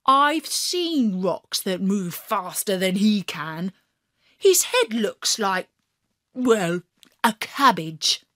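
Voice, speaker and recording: A woman narrating a children's story in first person as a boastful hare, playful and lively. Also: medium pitch, no echo, studio quality.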